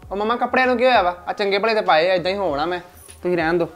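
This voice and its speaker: A man's voice: high voice, racing, soft background music, close to an everyday voice. A man playing an agreeable, chatty village son talking with his mother. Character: agreeable village son sent to find a match. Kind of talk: village comedy sketch acting.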